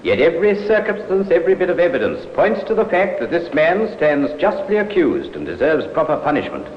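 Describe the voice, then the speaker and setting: An actor playing a formal, probing prosecutor, declamatory and deliberate, in old radio drama style. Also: male voice, a little high, huge pitch swings. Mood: solemn, declamatory. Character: formal, probing male Crown prosecutor in court. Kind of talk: 1950s radio drama acting. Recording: echoey hall, muffled noisy old radio.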